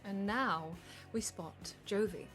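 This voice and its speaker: A woman's voice: medium pitch, slow pace, a bit bright, faint music behind. A woman reading scripted stage directions as narrator at a comedic live table read.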